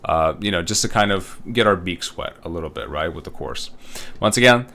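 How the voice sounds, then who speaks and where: Male college instructor, thirties, from Uzbekistan; low voice, loose and chatty delivery with plenty of fillers. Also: animated, brisk, bright, forceful tone. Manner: casual, rambling, with many fillers and tag questions. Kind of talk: recorded online course walkthrough.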